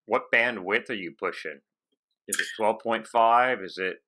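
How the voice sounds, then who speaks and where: A man with a fairly low-pitched voice and a bright, forceful edge. He speaks as a casual interview host, conversational and given to anecdote, working through his thoughts aloud. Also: unhurried. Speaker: podcast host interviewing a radio operator.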